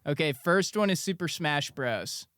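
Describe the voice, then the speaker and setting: A man interviewing a guest in an upbeat, brisk way, quick to laugh. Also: a little high, animated, fairly bright tone, clear, resonant tone, no echo, clean studio sound. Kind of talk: casual interview.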